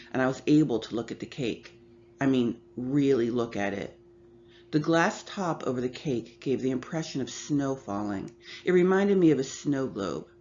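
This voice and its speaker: A woman reading a first-person horror story aloud in a measured, sometimes hushed voice. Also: rumbling low. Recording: slightly muffled with faint hum.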